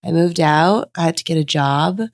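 A woman's voice: deep voice, fairly even intonation, moderate pace, no echo, studio quality. A woman from Sweden, a podcast guest, telling her life story candidly while a bit under the weather. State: unwell, lower voice. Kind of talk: casual interview conversation.

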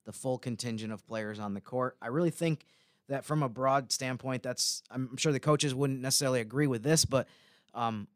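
A man gives casual sports analysis as a podcast co-host. His voice is smooth and mid-pitched, recorded dry and close. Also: moderate pace, no echo.

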